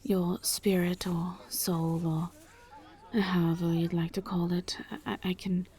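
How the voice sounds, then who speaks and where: A woman playing a gentle, reserved vampire in a roleplay audio drama, speaking in a hushed, breathy voice with careful, halting, formal phrasing. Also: lowish voice, level tone. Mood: hesitant, tender. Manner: halting, careful, formal diction without contractions. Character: gentle, reserved female vampire travelling with a knight.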